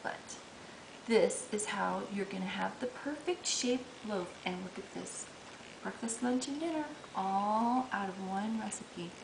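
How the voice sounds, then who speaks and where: A woman's voice: mid-range voice, unhurried, gravelly, background noise. Chatty woman in an echoey kitchen. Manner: chatty, upbeat, talks while working dough. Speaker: cooking show host. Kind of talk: casual cooking demonstration. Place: home kitchen, indoors.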